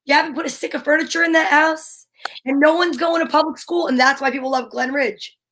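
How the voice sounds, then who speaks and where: An American woman with a distinctly high-pitched voice talks casually and bluntly, stressing her opinions. She sounds like a talk show co-host in easy conversation. There is some faint noise and clicking on the recording. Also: fairly even intonation, fairly quick, fairly bright tone. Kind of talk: casual chat.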